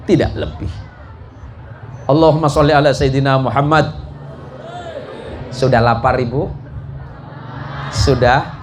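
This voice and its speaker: A man preaching a sermon to a congregation in a jocular, folksy way, often putting questions to his listeners. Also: medium pitch. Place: mosque gathering before a live congregation. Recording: live talk with clear background noise.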